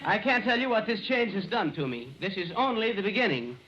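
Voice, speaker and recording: A man's voice: high-pitched, expressive, brisk, a crowd in the background. An actor playing a pompous, bookish man who stiffly tries out slang in precise, flowery words, in old-time radio comedy style. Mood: pleased, eager. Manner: pedantic, over-precise, flowery vocabulary. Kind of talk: 1930s-40s radio comedy acting. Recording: noisy, muffled old radio recording.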